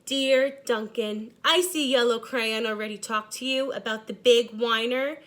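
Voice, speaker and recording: Smug orange crayon, played by a woman, high voice, snippy and scornful, storybook read-aloud. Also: unhurried, a bit bright.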